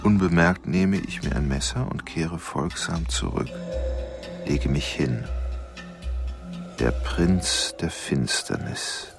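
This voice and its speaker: Deep, gravelly, slow-talking brooding killer. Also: male voice, animated, over music, exaggerated voice. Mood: cold confessing. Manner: halting, intense, often dropping to a whisper. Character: obsessive, brooding male killer confessing his crimes. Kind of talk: radio drama inner monologue.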